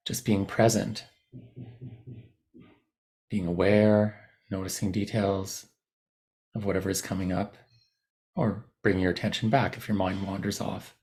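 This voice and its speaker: A man guiding a body scan meditation in a soothing, unhurried manner, leaving long pauses between short phrases. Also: on the low side, darkish tone. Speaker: meditation guide. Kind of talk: guided body scan meditation, unscripted instruction.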